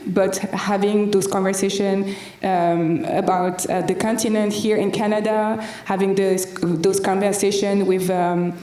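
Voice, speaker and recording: A woman's voice: medium pitch, mellow. A woman from France with a strong French accent, speaking English hesitantly on a panel with many fillers and restarts. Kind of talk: panel discussion. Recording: roomy hall, mic at a distance.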